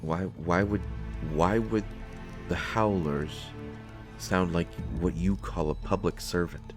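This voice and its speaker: A man playing a nervous, bookish survivor in a sci-fi audio drama, speaking haltingly with frequent stammers. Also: deep voice, steady tone, music in the background. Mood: puzzled, awkward. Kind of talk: scripted sci-fi audio roleplay, solo acting.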